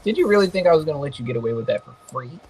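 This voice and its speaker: A man's voice: a little high, expressive, very fast, darkish tone, a little hiss or hum. Male gaming host, slightly nasal, loose and jokey, trash-talks his opponents. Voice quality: sometimes nasal. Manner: loose, joking, slangy trash talk. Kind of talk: casual gameplay commentary.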